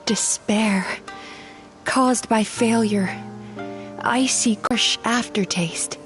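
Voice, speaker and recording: An actress playing a gentle young psychologist who tastes dreams, speaking softly and earnestly in a video game. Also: female voice, fairly high pitch, extremely slow, over music. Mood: tender. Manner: soft, reflective, earnest. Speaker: game character.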